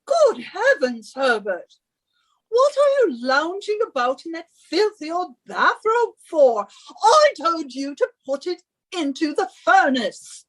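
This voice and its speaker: A woman's voice: extremely high pitch, big pitch swings, slow, exaggerated voice, poor audio. A woman playing a bossy, haughty British wife in a radio suspense drama, with an imperious, theatrical delivery. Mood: scornful, impatient.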